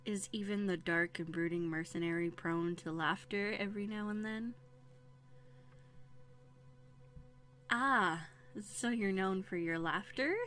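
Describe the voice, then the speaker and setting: A woman playing a young runaway princess in a roleplay audio drama, bookish and quietly bitter, talking earnestly and hesitantly into a close microphone. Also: medium pitch, normal speed, hardly any pauses, natural voice. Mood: amused, teasing. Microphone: close USB condenser microphone.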